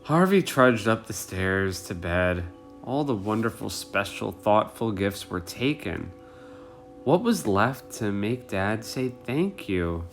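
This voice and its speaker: Male storyteller, lively pitch swings, children's read-aloud, guitar music behind. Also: slow pace. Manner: animated, addresses young listeners. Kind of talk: expressive children's picture book reading.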